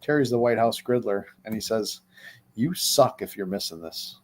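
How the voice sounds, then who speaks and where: A man's voice: fairly low pitch, normal speed, darkish tone. A man co-hosting a casual livestream chat, upbeat and playful in banter, greeting viewers. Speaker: co-host.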